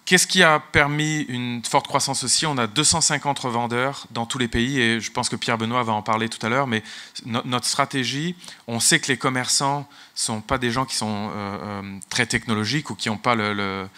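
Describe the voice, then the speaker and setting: A man's voice: medium pitch, steady tone, normal speed, bright, forceful tone. A man whose first language is English, speaking as a tech executive at a business conference, often restarting and repeating words. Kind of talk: conference talk.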